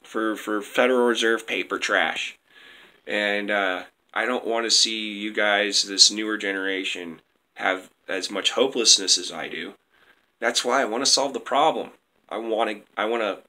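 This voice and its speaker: A man's voice: on the low side, unhurried, bright, forceful tone, low-quality recording. Young man ranting into a webcam. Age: young adult. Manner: rambling, heated, repetitive. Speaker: vlogger. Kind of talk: rambling webcam monologue. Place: small indoor room at home. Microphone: webcam close up.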